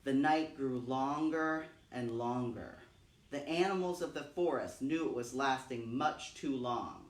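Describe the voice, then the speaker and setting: A man's voice: mid-range voice, unhurried. Echoey, distant male storyteller reading aloud. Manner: dramatic, repeats phrases for emphasis. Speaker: storytime reader. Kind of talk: expressive read-aloud children's storytelling. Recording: roomy, echoing, distant microphone.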